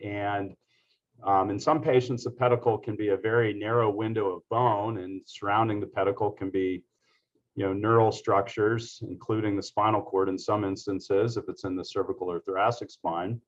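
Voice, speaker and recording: A man giving a measured medical lecture over a video call, pausing often with fillers. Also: fairly low pitch, fairly even intonation. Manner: hesitant, measured, many fillers. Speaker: guest lecturer, surgeon. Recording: dull lo-fi video call audio.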